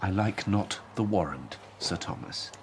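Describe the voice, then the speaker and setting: A man playing a guarded, haughty Elizabethan statesman in a radio drama, speaking in a measured, formal, imperious way with a husky edge. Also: low-pitched, lively intonation, slight background noise. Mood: cold, suspicious. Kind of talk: period radio drama acting.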